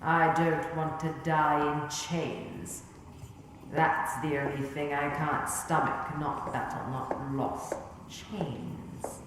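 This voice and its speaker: A woman's voice: low voice, little pitch movement, slow pace, fairly bright tone. A woman acting a stern, weary rebel colonel in a sci-fi audio drama, clipped, grave and authoritative. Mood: resolute. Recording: echoey hall, clear background noise.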